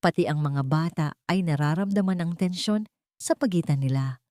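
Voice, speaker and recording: A woman's voice: low voice, moderate pace, dark tone, no room sound, clean studio sound. A woman reading a scripted romance story as a dramatic narrator.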